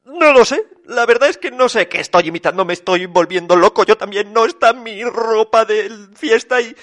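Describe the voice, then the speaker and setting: A man's voice: sits high, moderate pace, fairly bright tone, pitched above the performer's own voice. A man voicing a panicky, tearful old Father Christmas who stammers and wails in a comic story. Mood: frantic. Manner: stammering, wailing, exclamatory.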